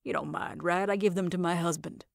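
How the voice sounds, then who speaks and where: A woman's voice: fairly low pitch, steady tone, brisk, vocal fry, no room sound, studio quality. A woman voicing a gushing, chummy female acquaintance in an audio short story, familiar and breezy.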